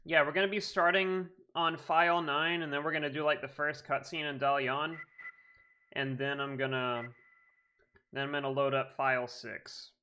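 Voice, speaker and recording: A man's voice: on the high side, level tone, normal speed, pushed and bright, slight background noise. A man chatting casually as a live streamer, with a playful, affectionate, slangy manner. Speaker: live streamer and hobby voice actor. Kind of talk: casual livestream chat. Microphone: streaming microphone. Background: game music.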